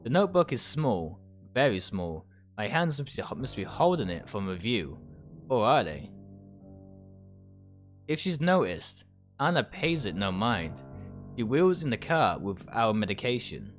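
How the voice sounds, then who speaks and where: Male game streamer, animated intonation, halting read-aloud of story text over soft music. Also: mid-range voice. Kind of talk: reading visual novel text aloud on a livestream.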